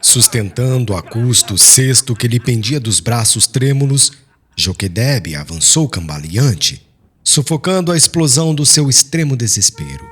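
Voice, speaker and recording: A man's voice: medium pitch, expressive, normal speed, a bit bright. A man reading an audiobook as a solemn, formal and dramatic literary narration.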